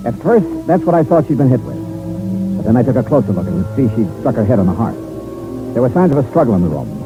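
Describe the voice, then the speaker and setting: A man's voice: mid-range voice, sing-song, fast, over music, natural voice. An actor playing a wry, hard-boiled investigator narrating his case in a clipped old radio detective style. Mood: grave, tense. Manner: clipped, wry first-person storytelling. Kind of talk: 1950s-60s radio detective drama narration. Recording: muffled old-time radio recording.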